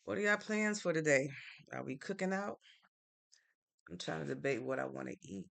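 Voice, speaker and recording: A woman from Connecticut hosts a casual live stream and talks through viewer comments in a relaxed, meandering way. Her voice carries a strong, gravelly vocal fry throughout. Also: low-pitched, moderate pace. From Norwalk, Connecticut, United States. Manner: easygoing and chatty, thinks aloud with fillers.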